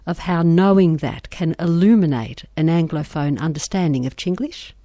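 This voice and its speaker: A woman host with a low, mellow voice leads a radio interview in polished, formal sentences. The sound is dry and close, with no room around it. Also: normal speed, no room sound. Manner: articulate, formal questioning. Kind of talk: scripted introduction and interview questions.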